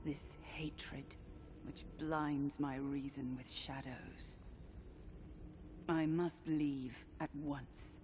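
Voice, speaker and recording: Slow, brooding, solemn figure. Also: male voice, on the high side, level tone. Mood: dark anguish. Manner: grave, cryptic, archaic phrasing. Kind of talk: scripted dramatic game dialogue.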